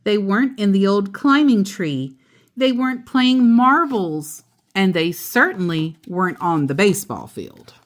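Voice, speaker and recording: A woman storyteller reads a children's picture book aloud at a slow, unhurried pace. Her pitch moves up and down in a very animated way, and she adds simple asides for a young listener.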